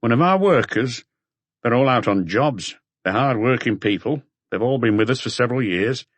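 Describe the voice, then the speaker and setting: A man's voice: mid-range voice, lively intonation, normal speed, no echo, close to an everyday voice. A man voicing a short, grumpy accountant in an audiobook, curt and guarded in manner. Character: short grumpy male accountant, defensive but talkative.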